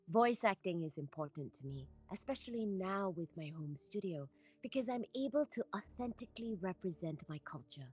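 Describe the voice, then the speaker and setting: Woman from Malaysia, lowish pitch, lively intonation, articulate, earnest, muffled recording. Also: breathy edge. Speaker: voice actor. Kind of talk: prepared testimonial. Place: home studio.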